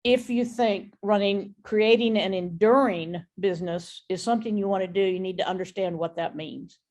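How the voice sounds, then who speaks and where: A woman's voice: medium pitch, moderate pace, darkish tone. A woman speaking frankly and with firm opinions in a conversational business talk over an online meeting, as a guest expert.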